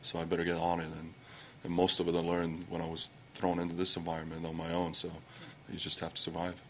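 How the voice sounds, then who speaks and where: A young man from Estonia with an Estonian accent, answering press questions in halting English full of fillers and restarts. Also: monotone, a bit bright, slight background noise. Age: young adult. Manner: halting, many fillers and restarts, low-key. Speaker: athlete at a press conference.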